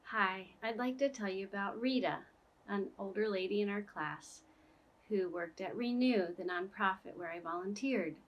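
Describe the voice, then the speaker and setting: A woman, an ESL teacher, telling a story about a student in a steady, scripted way. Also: mid-range voice, normal speed, highs cut off.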